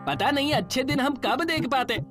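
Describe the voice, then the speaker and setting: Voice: high-pitched, expressive, moderate pace, voice pushed up. A narrator playing a humble, worried, earnest male South Indian dosa street vendor in a cartoon story, often ending phrases with a respectful tag. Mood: hopeful. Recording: music behind the voice.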